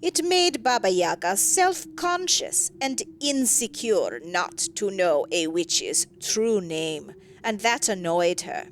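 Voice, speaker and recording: A woman reading a story aloud in an expressive, theatrical and wry storytelling manner. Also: medium pitch, slow, hardly any pauses. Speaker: story reader. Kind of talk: expressive scripted storybook narration.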